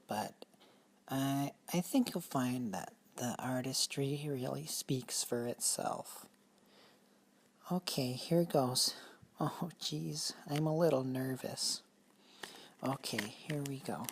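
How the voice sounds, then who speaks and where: A woman's voice: very deep voice, slight background noise, heavily acted. A woman playing a nervous, earnest amateur poet in improvised radio comedy, speaking hesitantly and solemnly. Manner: hesitant, halting, solemn recitation.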